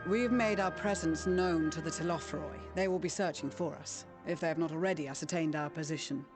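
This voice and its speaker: An authoritative commander from a game , acted out. The delivery is formal and commanding, built for briefings and orders. Music plays clearly underneath. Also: medium pitch, a little fast, close to an everyday voice. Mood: commanding, composed.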